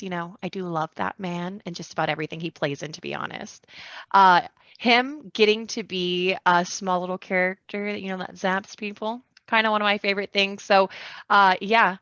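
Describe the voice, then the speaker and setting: A woman chats casually as a podcast guest. Her voice is bright and sits at a middling pitch, with a playful, self-deprecating manner. The audio is dry and a little dull. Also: clear, resonant tone, no echo. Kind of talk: casual chat.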